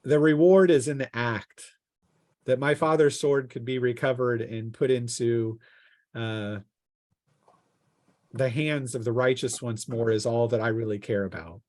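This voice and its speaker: A man's voice: medium pitch, measured pace, a little mellow, natural voice. A man voicing a dignified, grieving noblewoman with gracious, composed and formal speech, as a game master would. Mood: solemn, gracious.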